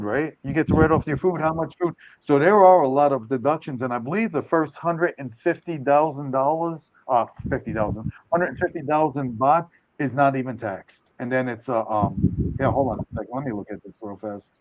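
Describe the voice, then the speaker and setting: A man's voice: mid-range voice, normal speed, dry recording. Older male host, casual, rambling and hedging, dull dry recording. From United States. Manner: rambling, hedging, self-correcting. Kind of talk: casual live stream talk.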